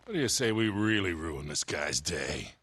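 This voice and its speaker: Wry old treasure hunter mentor, husky gravel voice, jovial, game cutscene. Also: male voice, deep voice, sing-song, moderate pace, fairly bright tone, no room sound, heavily acted. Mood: mischievous. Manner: wry, no-nonsense. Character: gruff, jovial older male treasure-hunting mentor. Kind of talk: video game cutscene acting. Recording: game music behind the voice.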